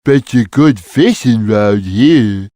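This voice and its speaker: A big, gentle cat from a video game. The speech is slow and simple, yet it swings widely in pitch. The recording is very dry. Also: male voice, lively intonation, dry recording. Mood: mild wonder. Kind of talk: video game character lines.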